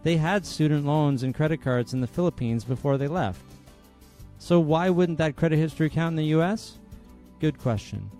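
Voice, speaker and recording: A man hosting a podcast, reading a scripted introduction and then chatting casually with a guest in a friendly, lively way. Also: a little high, normal speed, faint music behind. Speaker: podcast host.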